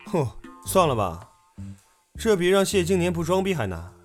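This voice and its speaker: A young man playing a band leader and singer, casual and sharp-tongued, given to teasing. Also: high-pitched, very animated, normal speed, faint music behind. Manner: mocking, casual.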